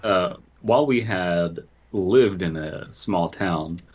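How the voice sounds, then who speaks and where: A man hosting a podcast in casual comedic talk, joking and a bit halting, on a slightly dull microphone. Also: deep voice, darkish tone, slightly rough. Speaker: podcast host. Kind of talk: casual comedic chat, reading stories aloud.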